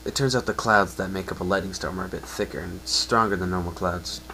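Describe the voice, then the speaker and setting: Man as a low-voiced, downcast young pegasus stallion. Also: steady tone, fairly quick, gravelly, bright, forceful tone, a little hiss or hum, exaggerated voice, low-quality recording. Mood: somber. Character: downcast young male pegasus, injured and self-blaming.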